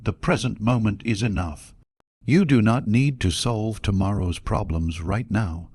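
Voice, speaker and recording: A man with a low voice leads a guided sleep meditation. He reads scripted instructions at a slow, measured pace with a soothing delivery. The recording is dry and close, free of room sound. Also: darkish tone, husky, no echo.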